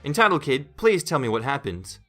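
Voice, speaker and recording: A man voicing a stern, accusing male school discipline officer with a loud, curt, commanding manner in a story. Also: medium pitch, big pitch swings, fairly quick, soft background music, voice pushed up.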